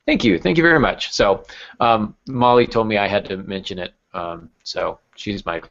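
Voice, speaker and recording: A man's voice: lowish voice, moderate pace, vocal fry, a bit bright. A man hosting a podcast in casual chat, affable and self-deprecating. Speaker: podcast host, novelist. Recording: dull lo-fi audio.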